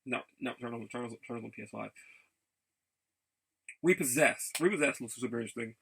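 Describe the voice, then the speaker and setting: Gravelly, bright-voiced man chatting casually. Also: mid-range voice, a little hiss or hum. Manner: halting, repeats words and backtracks often. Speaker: vlogger showing game and figure pickups. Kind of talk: casual rambling vlog chat.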